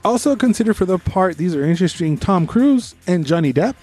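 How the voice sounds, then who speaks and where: A man's voice: high-pitched, animated, fairly quick, darkish tone, soft background music. A man co-hosting a podcast, giving opinionated, informal review chat with bits of trivia. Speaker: podcast co-host.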